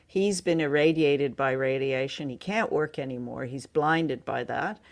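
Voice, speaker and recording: A woman's voice: rumbling low, unhurried. A woman co-hosting a podcast, chatting casually through a review and often searching for her words. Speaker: podcast co-host.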